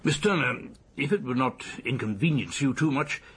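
Very raspy English police detective. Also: male voice, mid-range voice, lively intonation. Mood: methodical. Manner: polite, measured, probing. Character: courteous, methodical police detective questioning a witness. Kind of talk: radio drama acting.

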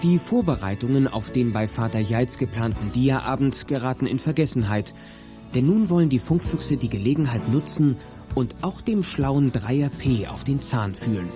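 A man's voice: medium pitch, expressive, moderate pace, natural voice. A man narrating a youth detective radio play in scripted storytelling style. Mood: neutral storytelling. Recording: music under studio narration. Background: music.

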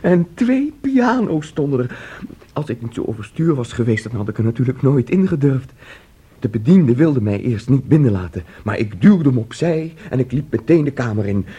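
A man acting an excitable, boastful young son in a radio play, telling things with theatrical eagerness. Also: mid-range voice, moderate pace, husky. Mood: excited, dreamy.

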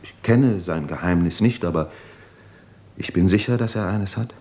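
An actor in a 1950s German radio drama playing a tormented, thoughtful Russian doctor in love, speaking in a measured, articulate way. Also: male voice, deep voice, mellow, lightly acted. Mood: grave, intense.

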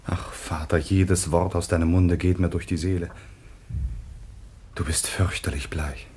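An actor playing the devoted, sorrowful son of a dying patriarch in a classic German radio drama, speaking earnestly. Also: male voice, deep voice, fairly even intonation, measured pace, a little mellow, breathy edge. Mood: grieving. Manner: solemn, earnest.

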